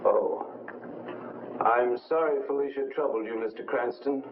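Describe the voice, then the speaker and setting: An actor playing a dignified, solemn Latin American president in exile, speaking formally in a 1950s film drama. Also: male voice, mid-range voice, unhurried, noisy background, close to an everyday voice. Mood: courteous. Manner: formal, grave, eloquent. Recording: muffled old film soundtrack.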